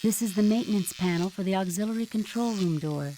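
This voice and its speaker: A woman's voice: medium pitch, fast, slight background noise, natural voice, rough recording. A woman playing a composed, matter-of-fact narrator of a sci-fi adventure game, reading scripted descriptions. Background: loud game music.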